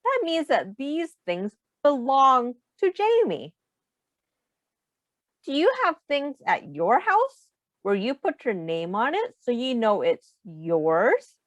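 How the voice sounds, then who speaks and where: Woman, higher-pitched voice, dry close sound, children's read-aloud, expressive teacher delivery. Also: no room sound, muffled recording. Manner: expressive, simple, deliberate teacher talk. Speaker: teacher reading a picture book aloud to young children. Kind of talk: children's storybook read-aloud with questions to listeners.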